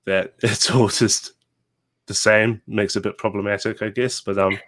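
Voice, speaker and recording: A man's voice: on the low side, flat delivery, normal speed, a bit bright. A man in his twenties with a New Zealand accent, a game designer chatting casually as an interview guest, rambling with fillers and restarts. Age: 20s.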